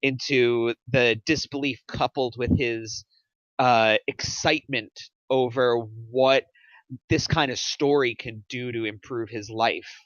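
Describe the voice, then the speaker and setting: A man talks through script notes in a casual discussion, thinking aloud and feeling his way to suggestions. His voice sits at a middle pitch. The recording is dry and slightly dull. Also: steady tone, takes it slowly, a bit bright, dry recording. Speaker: script table read panelist.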